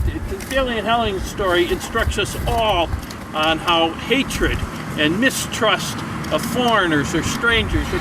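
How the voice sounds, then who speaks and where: An older man, a retired judge, giving a reflective outdoor memorial speech, often repeating words as he starts sentences. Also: high voice. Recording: distant mic, loud outdoor noise.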